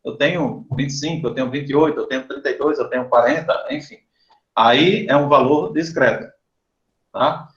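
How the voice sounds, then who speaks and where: A man with a Brazilian Portuguese accent, a professor giving an informal explanatory lecture to students, often pausing to check in with "né?" and "tá?". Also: mid-range voice, fairly bright tone, highs cut off. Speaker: university professor.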